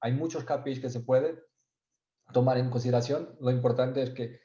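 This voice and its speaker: A man's voice: medium pitch, steady tone, brisk, mellow, reverberant, dull audio. A man from Portugal speaking Spanish with a Portuguese accent, presenting a technical webinar and often restarting and searching for words. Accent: Portuguese, Spanish learned in Spain and Galicia. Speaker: sales engineer presenting a webinar.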